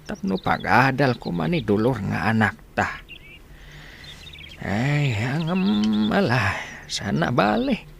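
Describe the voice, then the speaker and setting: A man's voice: mid-range voice, sing-song, slow, creaky, long pauses, fairly bright tone, a little hiss or hum, heavily acted. A man playing an old, humble rural husband who herds cattle in an Ilocano radio comedy-drama, speaking in a drawl full of hesitant interjections. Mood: weary, musing. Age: older.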